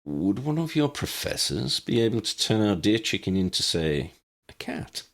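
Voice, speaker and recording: Man voicing a wry old man, gravelly, swooping. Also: lowish voice, very animated, normal speed, a bit bright. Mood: wry, curious. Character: old bearded wizardly man, wry and kindly. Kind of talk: audiobook character reading.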